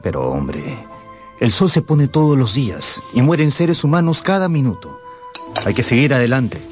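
An actor in a radio drama playing a carefree, evasive young gentleman, insistent and persuasive with his friend. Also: mid-range voice, big pitch swings, normal speed, faint music behind, close to an everyday voice. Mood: brusque, philosophical.

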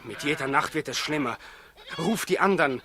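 An actor playing an exasperated, level-headed shepherd in a 1960s radio drama, urgent and commanding, on an old recording. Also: male voice, fairly high pitch, expressive, moderate pace, noisy background, close to an everyday voice. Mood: reasoning, impatient. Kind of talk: 1960s German radio play acting.

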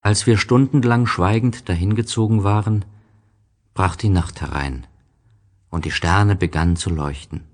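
Male literary narrator: low register, very slow pacing, reflective and measured scripted reading.

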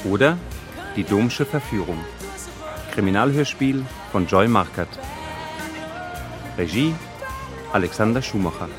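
Low-voiced male announcer over music. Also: expressive, measured pace, pauses often. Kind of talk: scripted title and credits announcement for a radio play.

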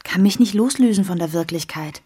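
A woman playing a weary, longing, unhappily married wife in a radio play, with a husky, breathy, hushed voice. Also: medium pitch, expressive, brisk. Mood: resigned longing. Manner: hushed, brooding, often whispering. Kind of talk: expressionistic radio play acting.

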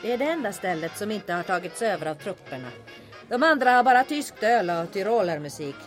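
Woman playing a lively, sardonic barkeeper. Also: mid-range voice, natural voice. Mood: welcoming. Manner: dry, bossy, teasing. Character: shrewd, sardonic female bar owner secretly aiding resistance. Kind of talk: comedic audio drama acting. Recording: music and crowd chatter behind voice. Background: bar crowd and music.

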